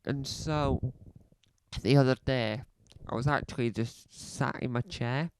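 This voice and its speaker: A man playing a self-important male celebrity podcaster, rambling and halting with a slight cough, speaking close to a dry mic. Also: medium pitch, slight background noise, close to an everyday voice, rough recording. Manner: rambling, halting, fond of repeating phrases. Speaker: comedy podcast host character. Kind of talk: scripted comedy monologue.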